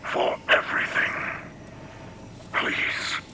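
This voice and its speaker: A man voicing a solemn, reverent alien mentor in a video game, with a breathy, whispery voice and grave, formal, measured delivery. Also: background noise. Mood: urgent, pleading. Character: solemn, reverent male alien splicer mentor, weary and hopeful. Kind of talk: dramatic video game dialogue.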